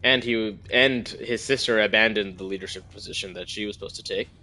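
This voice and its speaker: A man's voice: on the low side, a little fast, sharp tone. A man giving casual live commentary as he plays a video game, musing aloud and correcting himself. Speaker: streamer. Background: faint game ambience.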